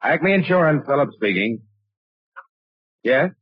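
A dull, dry old radio recording. a businesslike male insurance agent, polite and formal. His slightly rough voice moves up and down expressively. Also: medium pitch, animated, measured pace, dry recording. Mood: courteous, businesslike. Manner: formal, courteous. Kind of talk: 1940s radio drama acting.

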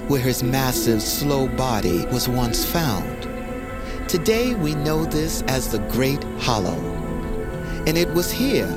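An actor playing a solemn keeper of lore, telling an ancient fantasy legend in measured, formal phrasing for an audio drama. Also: male voice, mid-range voice. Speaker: storyteller. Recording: studio narration under loud music.

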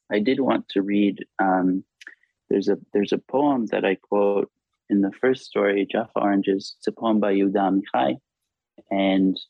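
Low gravelly-voiced man, halting and thoughtful. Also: dry recording. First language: Hebrew. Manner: halting, thoughtful, frequent restarts. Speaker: author being interviewed. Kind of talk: reflective interview talk. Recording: dull lo-fi recording.

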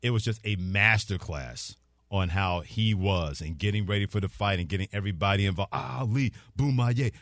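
Sports radio host, man, lowish voice, easygoing chat, dry studio sound. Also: normal speed, a bit bright, no room sound. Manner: easygoing, affirming.

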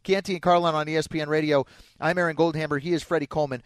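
A man's voice: fairly high pitch, steady tone, racing, smooth, no room sound. An American man hosting sports talk radio in an emphatic, opinionated way, close on a studio mic. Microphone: close studio broadcast microphone.

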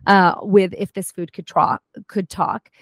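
A woman in her forties, guest on a chat show, telling a lively story with many restarts and asides. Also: mid-range voice, a little slow, no room sound, clean studio sound. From United States. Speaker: guest, food historian and cooking teacher. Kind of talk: casual interview chat.

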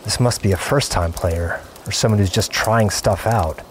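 A man's voice: lowish voice, moderate pace, slight background noise, close to an everyday voice. A man playing an earnest, obsessive gamer in an audio drama, confiding his play session in short, broken-up phrases. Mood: wary, tense. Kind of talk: first-person scripted audio drama narration.

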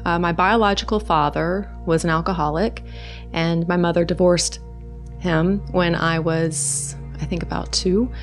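A middle-aged woman from Norway with a Norwegian accent, soft-spoken and hesitant, telling her personal story in a conversation. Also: fairly low pitch, steady tone, unhurried, faint music behind. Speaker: guest.

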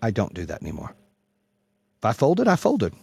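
A man giving a brash, profane, emphatic motivational monologue in a husky voice, repeating phrases for punch. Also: fairly low pitch, wildly swooping pitch, normal speed, darkish tone, dry recording. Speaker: motivational speaker and host.

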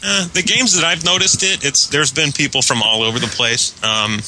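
A man from the United States chatting casually as a tech-minded podcast guest, explaining things in a hesitant, measured way. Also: fairly high pitch, bright, forceful tone, poor audio. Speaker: guest and server admin. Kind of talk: casual technical chat.

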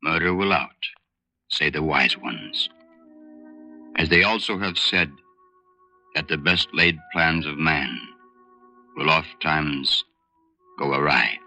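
Solemn radio-drama narrator, very deep, bright edge, slow, faint music under. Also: male voice, exaggerated voice, poor audio.

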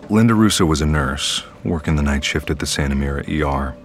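A man's voice: a bass voice, brisk. A man narrating a scripted drama as storyteller. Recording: clear music under the voice.

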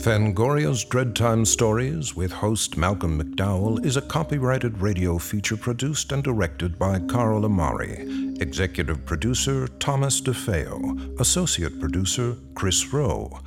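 A man reading radio show credits in a measured, formal manner. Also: lowish voice, very animated, vocal fry, loud music behind the voice. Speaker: credits announcer.

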